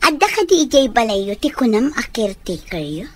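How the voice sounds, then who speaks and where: A woman's voice: on the high side, sing-song, moderate pace. An actress playing a young woman who asks probing questions in a radio comedy-drama. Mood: questioning. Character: questioning young woman, grown daughter with a soldier boyfriend. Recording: faint background noise and ambience.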